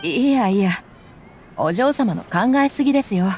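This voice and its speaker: A woman's voice: medium pitch, expressive, normal speed, a little mellow, slight background noise, close to an everyday voice. A woman voicing a blunt easygoing mercenary. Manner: rough, casual, gruff slang. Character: tough young female mercenary, blunt, easygoing. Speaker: game character.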